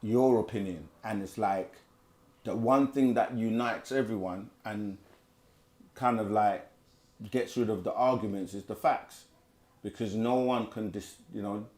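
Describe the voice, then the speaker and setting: Man, low-ish voice, chatty livestream host reading chat and riffing on answers. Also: fairly even intonation, measured pace, darkish tone. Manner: conversational, repeats himself, reads chat aloud. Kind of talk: casual live Q&A teaching.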